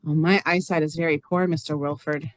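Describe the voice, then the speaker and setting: A woman's voice: low voice, steady tone, brisk, dry recording, close to an everyday voice. A woman playing a plain-spoken, lonely, literal-minded farm neighbor in a stage reading, earnest and matter-of-fact. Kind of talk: stage reader's theater acting.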